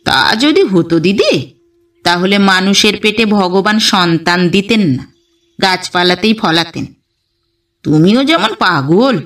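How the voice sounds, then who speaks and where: A woman's voice: medium pitch, animated, slow, a bit bright, recorded from a distance. A woman narrator playing a stern, irritated older mother-in-law in an audio story, curt, reproachful and self-righteous. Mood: dismissive.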